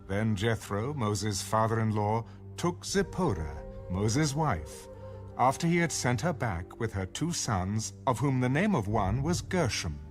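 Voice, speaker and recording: A man's voice: lowish voice, very animated, measured pace. A man reading scripture as the narrator of a dramatized audio Bible. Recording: music bed under the voice. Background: music.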